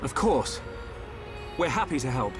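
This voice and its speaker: An actor playing an earnest, polite teenage hero in an animated game, eager in his delivery. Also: medium pitch, a little slow, over music. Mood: willing.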